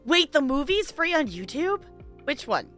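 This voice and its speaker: A woman with a lively, swooping delivery and a fairly high voice chats casually to her stream viewers. She rambles along in a giggly, conversational way, with music playing behind her. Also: a little slow. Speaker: live game streamer.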